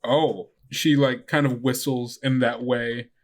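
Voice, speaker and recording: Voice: medium pitch, fairly even intonation, slow pace. A game master in casual tabletop game chat, thinking aloud in a hesitant way.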